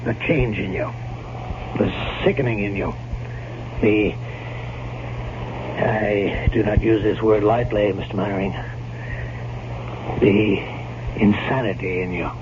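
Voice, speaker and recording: Blunt, foreboding physician; deliberate, emphatic radio-drama delivery, muffled old recording. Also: male voice, on the low side, fairly even intonation. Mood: ominous warning. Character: grave male family doctor, blunt, foreboding. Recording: dull, noisy vintage radio recording.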